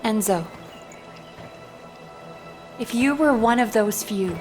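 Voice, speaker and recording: A woman's voice: on the high side, slow, music in the background. Stern, restrained female officer. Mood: guilt-ridden. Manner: curt, restrained. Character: stern, guarded female military officer burdened by guilt. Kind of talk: dramatic video game acting.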